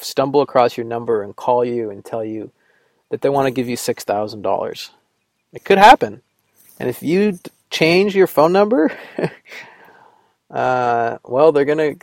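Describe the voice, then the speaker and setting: A man's voice: mid-range voice, a little mellow, no room sound. A man talking alone in a rambling, sarcastic way, full of fillers, just getting over a cold. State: recovering from a cold. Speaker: podcast host. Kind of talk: rambling solo monologue. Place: Vermont.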